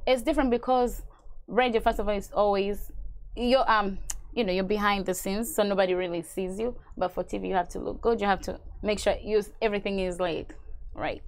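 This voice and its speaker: A woman from Uganda, a radio host, answering interview questions in a studio in a relaxed, chatty way with many fillers. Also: medium pitch, a little fast. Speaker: radio host and event organizer. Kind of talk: interview guest.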